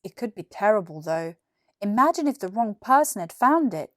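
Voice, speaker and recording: A woman's voice: medium pitch, big pitch swings, normal speed, no room sound, studio quality, natural voice. Storybook boy, read by a woman: young, careful, a little nervous, understated. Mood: worried. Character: quieter, cautious young schoolboy, nervous and thoughtful.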